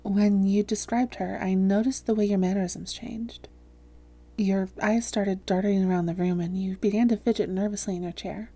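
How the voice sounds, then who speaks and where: A woman playing a calm female vampire therapist in a roleplay audio, speaking softly, gently and in a measured, reassuring way. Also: mid-range voice, close to an everyday voice. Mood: calm, probing. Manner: measured, gentle, reassuring, breathy hushed phrases. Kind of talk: soft-spoken roleplay audio, therapist addressing listener.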